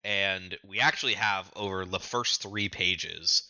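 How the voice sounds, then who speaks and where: A man co-hosting a podcast, giving a casual, halting recap of comic chapters. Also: deep voice, sharp tone, no echo, muffled recording. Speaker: podcast co-host.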